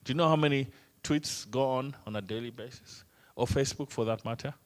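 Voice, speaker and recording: A Kenyan trainer whose first language is Swahili, speaking English at a mid-pitched level for a man. He runs the session by throwing questions at the room and teasing the participants. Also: a little fast. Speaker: workshop trainer.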